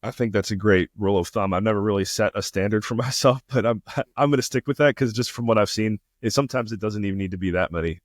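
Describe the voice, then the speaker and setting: A man's voice: on the low side, quick pace, few pauses, dry recording, studio quality. A man hosting a podcast, laid-back and chatty, full of fillers and easy laughs. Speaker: podcast host. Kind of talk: casual interview talk. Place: Toronto.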